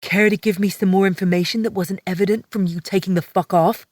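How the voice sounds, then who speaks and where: A woman's voice: on the low side, normal speed, darkish tone, no echo, clean studio sound, recorded from a distance, natural voice. A woman voicing a brusque young man who is exasperated, with a curt, sarcastic way of talking.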